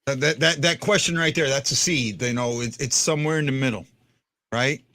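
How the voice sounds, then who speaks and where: A man with a deep, baritone-to-bass voice hosts a casual fan talk show. He rambles, repeats himself and trips over his words as he thinks aloud. His pitch sits in an ordinary middle range. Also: fairly quick, bright, forceful tone. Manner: rambling, stumbling restarts. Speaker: podcast host.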